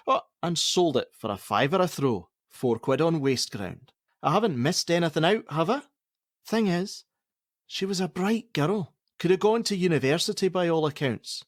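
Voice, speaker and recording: A man's voice: a little high, no room sound, clean studio sound. An actor playing a defensive, argumentative middle-aged father in a naturalistic radio play, sarcastic and given to swearing. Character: angry, defensive, domineering father of a pregnant teenage girl.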